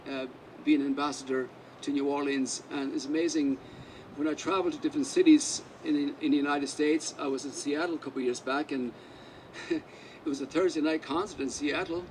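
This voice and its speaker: A man's voice: on the high side, steady tone, moderate pace, distant mic, a little hiss or hum, low-quality recording. An Irish man whose first language is Irish Gaelic, a musician telling rambling anecdotes at a press conference. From Ireland.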